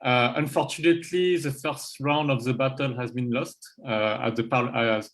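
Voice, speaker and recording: A man with a French accent presenting a climate proposal on an online panel, halting and full of fillers. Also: mid-range voice, fairly even intonation. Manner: halting, many fillers and restarts. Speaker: campaign presenter.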